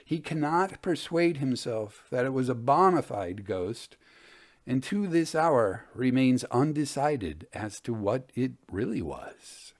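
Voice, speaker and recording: Male storyteller for Victorian ghost tales, measured and deliberate, with lively rises and falls in pitch. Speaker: host and reader of a ghost story podcast.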